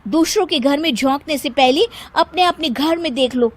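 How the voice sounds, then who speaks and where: A woman voicing a polite, normally quiet young Bengali daughter-in-law in an animated story. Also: high-pitched, moderate pace, voice pushed up, natural voice. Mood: indignant defence. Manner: polite, gentle protesting.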